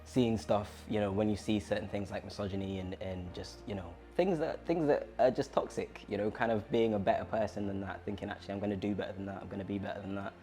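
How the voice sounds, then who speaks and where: A man's voice: lowish voice, fairly even intonation, fast talker, hardly any pauses, dark tone, soft background music. A man from Bristol giving thoughtful, loosely phrased interview answers with many fillers. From Bristol, England.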